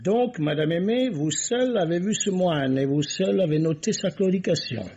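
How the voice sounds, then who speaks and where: An actor playing a shrewd, courteous, self-assured police commissioner in a formal, theatrical radio mystery style. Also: male voice, sits high, normal speed, dark tone, slightly rough. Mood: solemn, accusing. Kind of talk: vintage French radio mystery acting. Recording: dull, muffled old radio recording.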